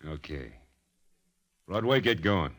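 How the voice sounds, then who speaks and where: A high-rolling gambler in an old radio drama. His low voice stays terse and unruffled, cool and resolute in every short reply. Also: sing-song, slow, exaggerated voice. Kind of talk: 1940s-50s radio drama acting.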